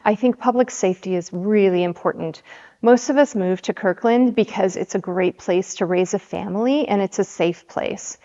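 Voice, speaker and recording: A woman's voice: medium pitch, darkish tone. Candidate woman in a reverberant room. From Seattle area, USA. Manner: measured, with frequent ums and restarts. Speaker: city council candidate. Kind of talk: candidate interview answers. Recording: dull audio with long hall reverb.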